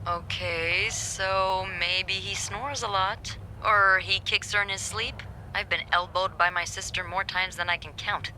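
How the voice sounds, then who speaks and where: A woman's voice: mid-range voice, fairly even intonation, measured pace, hardly any pauses, sharp tone, a little hiss or hum, low-quality recording. A woman playing a teasing, gossipy young hotel receptionist in a video game, with a sardonic, playful, breezy manner. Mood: teasing, nosy. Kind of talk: naturalistic video game voice acting.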